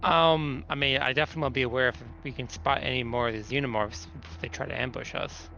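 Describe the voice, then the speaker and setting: Male game player, gravelly but bright, casual table talk, slightly muffled audio with music underneath. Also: mid-range voice, steady tone, fast. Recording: dull, lo-fi sound with music behind.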